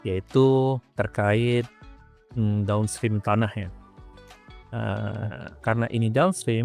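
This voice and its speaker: A man explaining material step by step in an informal, conversational tutorial as a teacher. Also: fairly low pitch. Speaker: accounting teacher.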